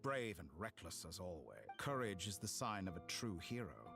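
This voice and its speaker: An actor playing a smug, theatrical, calculating villain in a fantasy video game, silky and condescending. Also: male voice, deep voice, very animated, vocal fry, exaggerated voice. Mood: mocking praise. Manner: silky, condescending, deliberate provocation. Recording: game music behind the voice.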